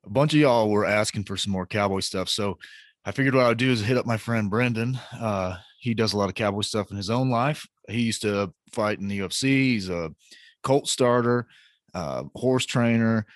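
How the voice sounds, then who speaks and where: Joking podcast host. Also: male voice, lowish voice, normal speed, no echo, clean studio sound. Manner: joking, loose, laughs easily. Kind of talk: casual podcast chat.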